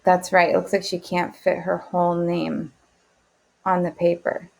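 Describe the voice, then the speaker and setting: Creaky-voiced woman teacher leading a read-aloud. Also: lowish voice, little pitch movement, measured pace, a little mellow. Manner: encouraging, prompting with questions. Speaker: teacher reading aloud to children. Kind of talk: interactive picture-book read-aloud lesson.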